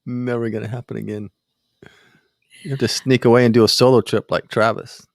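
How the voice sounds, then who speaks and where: A man's voice: mid-range voice, flat delivery, brisk, creaky, no echo. Playful man with a dry close sound. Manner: playful, teasing. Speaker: podcast co-host. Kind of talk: casual chat.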